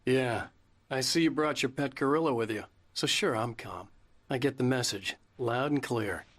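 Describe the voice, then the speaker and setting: A man's voice: medium pitch, moderate pace, dry recording, close to an everyday voice. An actor playing a weary, defiant male writer in a video game, terse, brooding and sardonic. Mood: sarcastic. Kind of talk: video game acting with noir-like first-person narration.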